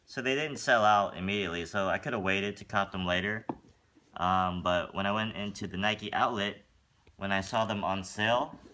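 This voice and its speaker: Low-voiced man reviewing sneakers. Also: a little hiss or hum. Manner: relaxed and rambling, with many fillers. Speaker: sneaker vlogger. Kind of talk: casual product review. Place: room with a wooden floor, indoors.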